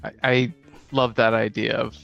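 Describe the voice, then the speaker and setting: Gravelly, bright-voiced man in casual chat. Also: medium pitch, little pitch movement, moderate pace, dull audio, faint music behind. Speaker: tabletop game player, podcast co-speaker.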